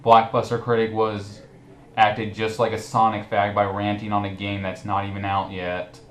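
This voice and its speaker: A man with a fairly low voice hosts a loose, casual commentary discussion. The recording is roomy and echoey, with some noise underneath. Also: completely flat delivery, moderate pace. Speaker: host. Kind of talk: casual group commentary chat.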